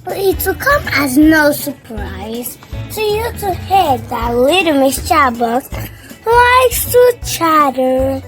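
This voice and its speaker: An expressive storyteller reading a children's story aloud in a slow, deliberate way, with children's music behind. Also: sits high.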